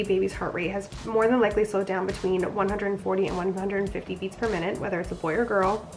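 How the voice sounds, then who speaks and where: A young woman vlogging a casual pregnancy update, sounding nasal from a cold, chatty and rambling. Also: mid-range voice. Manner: chatty, rambling, frequent fillers.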